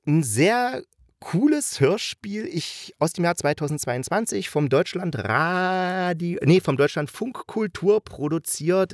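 A man's voice: on the high side, no room sound, clean studio sound. Chatty man reviewing with enthusiasm. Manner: enthusiastic, colloquial, rambling. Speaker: podcast host reviewing radio plays. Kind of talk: casual chatty review monologue.

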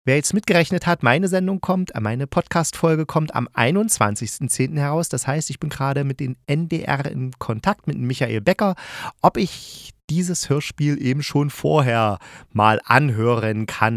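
Male review podcast host, average pitch, enthusiastic and conversational, emphatic delivery, very dry recording. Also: no room sound. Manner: colloquial, emphatic, opinionated. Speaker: podcast host and radio drama critic.

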